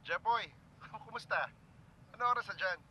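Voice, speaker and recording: A man voicing an affectionate, hurried, apologetic father working away from home in a cartoon, with a Filipino accent. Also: sits high, muffled recording, background noise, lightly acted. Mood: cheerful. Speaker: father character in animated film. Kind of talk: natural cartoon dialogue.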